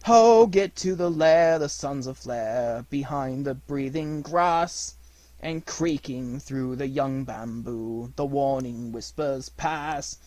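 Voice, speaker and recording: A man voicing a wolf pack's hunting song as loud, measured, drawn-out verse from a storybook. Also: mid-range voice, dry recording, lightly acted. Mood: solemn, rousing. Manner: declamatory, drawn-out. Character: wild wolf pack chanting a solemn jungle hunting song.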